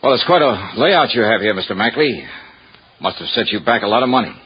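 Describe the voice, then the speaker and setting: A man's voice: fairly low pitch, expressive, brisk, breathy edge. An American man playing a hard-nosed, suspicious insurance investigator in a 1950s radio drama, wry, clipped and needling, narrating his own case. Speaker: lead actor and narrator. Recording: dull vintage radio recording with music.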